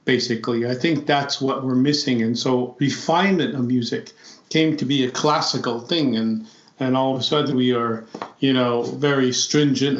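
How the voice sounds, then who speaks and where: A reflective man talks slowly through his ideas, stopping and repeating words as he searches for them. His voice sits at an ordinary middle pitch. The sound is dull and lo-fi, with a roomy echo around it. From South Dakota, United States. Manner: thoughtful, halting, repeats words. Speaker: podcast guest, flute player. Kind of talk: reflective conversation.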